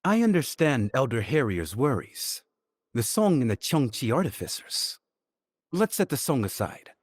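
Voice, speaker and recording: A man playing a composed, shrewd young diplomat in a game, speaking in a measured, articulate way with quiet confidence. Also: medium pitch, big pitch swings, no room sound, clean studio sound. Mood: calm, reasoned. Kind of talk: dramatic game dialogue.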